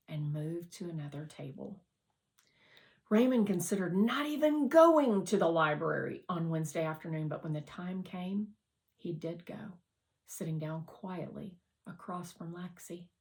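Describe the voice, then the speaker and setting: A woman narrating a children's novel aloud as a scripted, expressive read-aloud. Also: fairly low pitch. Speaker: audiobook reader.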